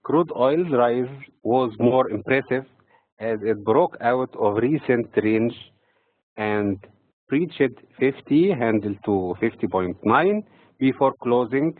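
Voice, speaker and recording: A man from Egypt with an Egyptian accent reads prepared financial market commentary in a measured, halting way, breaking phrases mid-sentence. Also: mid-range voice, expressive. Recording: tinny, phone-like narrow-band audio.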